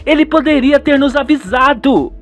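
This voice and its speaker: A man's voice: very high-pitched, moderate pace, a bit bright, soft background music, pitched above the performer's own voice. A man voicing a distressed middle-aged mother in a dramatic radio soap style. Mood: anguished, disbelieving. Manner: dramatic, anguished.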